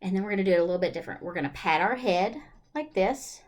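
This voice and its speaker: A woman's voice: medium pitch, animated, fast. A woman leading a children's storytime, reading and chatting in a singsong way and putting questions to her young listeners. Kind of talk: children's storytime read-aloud and chat.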